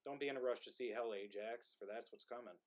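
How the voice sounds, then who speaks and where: A man's voice: mid-range voice, quick pace, lightly acted. A man playing a dead soldier's ghost in a play reading, wry and resentful, with a conversational, needling delivery. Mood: ominous. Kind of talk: play reading, modern drama.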